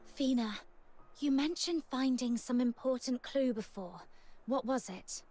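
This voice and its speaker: A woman voicing a composed, practical young hunter in a video game, measured and matter-of-fact. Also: high-pitched, darkish tone. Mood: calm, businesslike. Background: background music.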